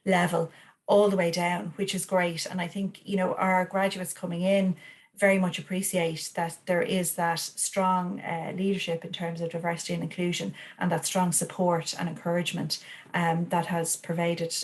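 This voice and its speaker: A woman's voice: lowish voice, flat delivery, moderate pace. A woman speaking as an award finalist in an online panel interview, hesitant, with many restarts and fillers. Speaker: award finalist, company representative.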